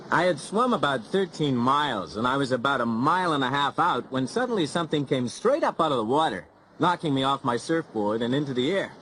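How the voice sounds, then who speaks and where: Actor as a California lifeguard, animated. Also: male voice, medium pitch, brisk, hardly any pauses, a little hiss or hum, natural voice, poor audio. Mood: vivid. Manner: vivid storytelling. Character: California lifeguard, shark attack survivor. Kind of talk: scripted textbook monologue.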